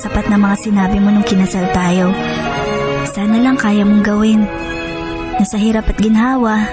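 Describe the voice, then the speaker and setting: A woman's voice: medium pitch, little pitch movement, normal speed, natural voice. An actress playing a hurt but forgiving young wife and mother in an earnest Tagalog radio drama. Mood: tender, forgiving. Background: loud music bed.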